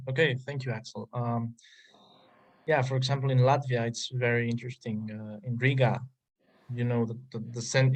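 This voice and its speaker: A man's voice: medium pitch, poor audio. A male guest lecturer talks his way through an academic point on a video call. He stops and restarts often and leans on fillers as he searches for words. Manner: hesitant, many restarts and fillers. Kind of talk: academic talk and Q&A. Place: online conference call.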